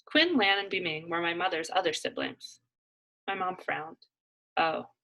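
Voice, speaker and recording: A woman narrating a children's story as a read-aloud, in an expressive storytelling style. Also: on the low side, moderate pace, gravelly, rough recording.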